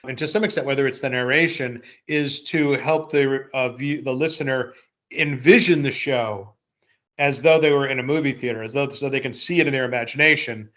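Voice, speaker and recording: A man with an average-pitched voice in casual podcast conversation. He speaks reflectively and haltingly, often repeating words as he thinks. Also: fairly even intonation, fairly bright tone. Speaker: podcast host, interviewer. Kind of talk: casual chat.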